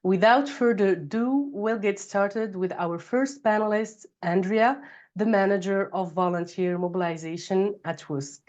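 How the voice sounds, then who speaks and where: A woman's voice: medium pitch, unhurried, darkish tone. Brief: woman webinar host, slight cold, lo-fi video-call audio, bilingual with fillers. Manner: bilingual, reads housekeeping notes with frequent fillers. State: recovering from a cold. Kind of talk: scripted webinar hosting.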